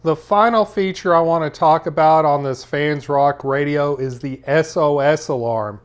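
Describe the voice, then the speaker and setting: A man narrating a product review as its host, explaining things in a measured, methodical way. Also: fairly high pitch, clear, resonant tone.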